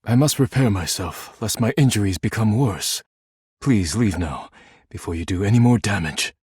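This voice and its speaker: An actor voicing a stern, proud, duty-bound living dishware caretaker in a video game, with a formal, clipped, solemn delivery and a husky voice. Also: male voice, on the low side, expressive, normal speed, darkish tone, dry recording, clean studio sound. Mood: hurt, cold. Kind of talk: video game character voice lines.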